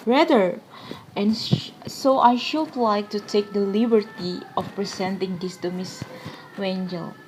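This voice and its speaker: Woman playing a shy, slow-spoken young gentleman. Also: medium pitch, noisy background, close to an everyday voice. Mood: shy, apologetic. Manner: polite, bashful, hesitant. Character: shy, deferential, eager young man visiting with flowers.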